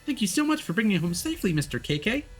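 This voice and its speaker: A man's voice: high voice, big pitch swings, very fast, soft background music, voice pushed up. A man voicing a cheerful, innocent young boy who is eager and devoted to his dog. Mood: eager, bright.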